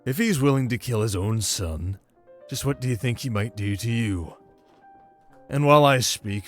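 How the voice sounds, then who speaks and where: Guy playing a cynical noble son, lowish voice, dry and frank, music underneath, audio drama. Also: lightly acted. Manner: dry, measured, sardonic. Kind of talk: scripted audio roleplay drama.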